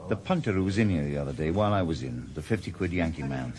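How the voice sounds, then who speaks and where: An actor playing a stubborn, dry-witted retired detective in a 1980s radio drama, with a Welsh accent. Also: male voice, extremely low pitch, fast talker, a crowd in the background. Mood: dogged. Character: dogged retired detective, middle-aged man, stubborn. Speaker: retired detective turned private investigator.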